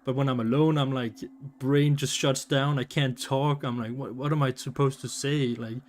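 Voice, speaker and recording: Man with danish accent, casual streamer chat, rambling and hesitant, mid-pitched, faint background hiss. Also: steady tone, moderate pace. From Denmark. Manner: rambling, lots of fillers and restarts.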